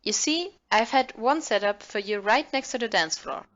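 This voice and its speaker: A woman's voice: on the high side, lively intonation, moderate pace, fairly bright tone, slight background noise. A woman playing an attentive café hostess in an audio play, courteous and eager to please. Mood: obliging. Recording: muffled audio, music behind.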